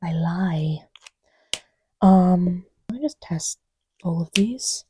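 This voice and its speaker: A woman playing an affectionate, scatterbrained girlfriend in a casual roleplay audio, soft-spoken and often whispery, rambling playfully and self-deprecatingly. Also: lowish voice, slow, a little hiss or hum. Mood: absorbed, playful. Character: affectionate, scatterbrained girlfriend testing markers on her boyfriend's lap. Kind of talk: casual, improvised-sounding girlfriend roleplay audio with ASMR feel.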